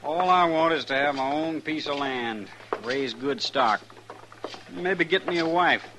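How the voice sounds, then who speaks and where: An actor playing a humble, polite young cowhand in an old-time radio western, talking in a plain folksy way. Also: male voice, a little high, unhurried, noisy background, natural voice. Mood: wistful.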